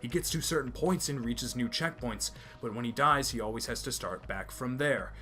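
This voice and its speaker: A man's voice: mid-range voice, normal speed, pushed and bright, faint music behind. Opinionated young man reviewing anime. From America. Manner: emphatic, opinionated, repeats points. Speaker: anime video host. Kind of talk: enthusiastic review commentary.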